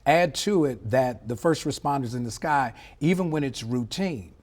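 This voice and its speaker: A middle-aged man speaking as an expert interview guest, explaining in a measured, emphatic way and often checking in with a short tag question. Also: medium pitch, expressive, a little mellow. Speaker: former air traffic controller, interview guest. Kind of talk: live TV news interview, explanatory commentary.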